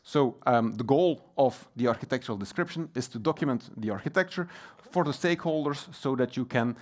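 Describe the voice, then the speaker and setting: A middle-aged Belgian man with a Belgian accent giving a technical conference talk, relaxed and plain, with frequent ums and uhs. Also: mid-range voice, a little fast. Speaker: conference speaker. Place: conference hall.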